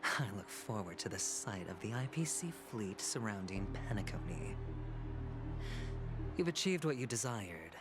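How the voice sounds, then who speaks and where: A smug, reckless gambler. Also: male voice, fairly low pitch, very animated, normal speed. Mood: sly. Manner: sly, teasing, hushed. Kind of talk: video game voice acting. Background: game music.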